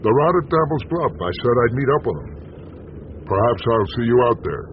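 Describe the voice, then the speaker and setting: A man's voice: mid-range voice, sing-song, a little fast, close to an everyday voice. An actor playing a world-weary, bitterly sardonic small-town American newspaperman in a radio drama, speaking with theatrical deliberation. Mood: wry, resigned. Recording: dull lo-fi radio broadcast, noisy.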